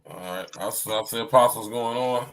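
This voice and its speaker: A man's voice: mid-range voice, moderate pace, rough recording. A man hosting his podcast, opening with loose, hype greetings full of slang. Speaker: podcast host. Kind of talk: casual podcast intro.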